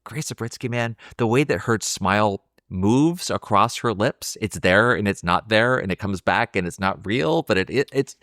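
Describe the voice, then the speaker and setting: A man's voice: on the low side, expressive, moderate pace, few pauses, fairly bright tone, no echo, clean studio sound. A man hosting a podcast, talking casually and enthusiastically about film as he thinks aloud. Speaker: podcast host.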